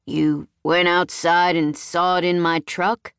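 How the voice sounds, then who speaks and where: A woman voicing a cruel father in an audiobook, speaking softly and slowly with quiet menace. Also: lowish voice, level tone, smooth, no room sound, studio quality. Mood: menacing. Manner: soft, slow, quietly menacing.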